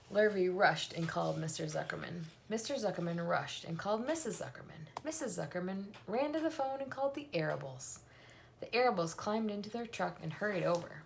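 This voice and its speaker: A woman in her thirties reads a children's book aloud. Her voice sits on the low side, and there is clear background noise in the home recording. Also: expressive, normal speed. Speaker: reader. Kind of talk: reading a children's novel aloud. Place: small room at home, indoors.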